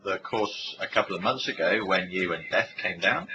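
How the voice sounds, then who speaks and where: A man introducing himself on a web call, halting and casual, with fillers. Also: deep voice. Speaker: study skills staff member. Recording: muffled call audio with clear background noise.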